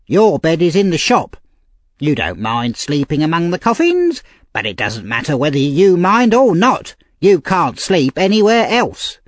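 A sour, scolding little wife, acted by a man, raised in pitch and very animated. She is sharp-tongued and contemptuous, a storybook shrew recorded dry. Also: unhurried, dry recording. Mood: curt, scornful. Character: short, thin, sour, scolding wife of a shopkeeper. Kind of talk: audiobook character voice.

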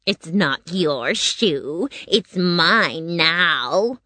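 A woman's voice: lowish voice, animated, very slow, bright, forceful tone, no room sound, heavily acted. A woman voicing a cruel, bossy old witch in a storybook reading. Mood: gloating. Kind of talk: storybook character voice.

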